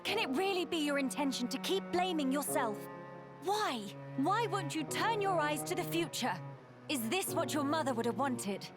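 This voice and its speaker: A woman playing an earnest, gentle, regal young noblewoman in a video game drama, with formal courtly phrasing. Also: medium pitch, expressive, slow pace, pauses often, over music. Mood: pleading, startled.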